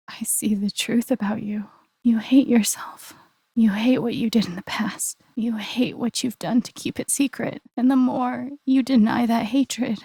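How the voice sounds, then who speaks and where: Young woman in a game drama, earnest n wounded, breathy with some fry, super close dry sound. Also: mid-range voice, mellow, dry recording. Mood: intense, compassionate. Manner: slow, deliberate, emotionally weighted phrasing. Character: earnest, wounded young woman confronting betrayal with quiet conviction. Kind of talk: naturalistic dramatic video game acting.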